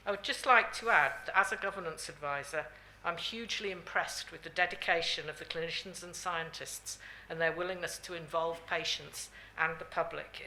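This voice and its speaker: A woman speaking formally at a conference as a governance advisor, in a measured way. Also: sits low, sharp tone, a little hiss or hum, rough recording. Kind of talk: conference talk. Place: conference hall.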